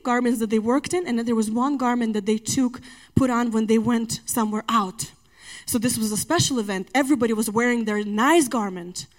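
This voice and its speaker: A middle-aged woman with a non-native English accent preaching a sermon, chatty and emphatic, often rising to loud exhortation. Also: a little high. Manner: conversational asides to the congregation.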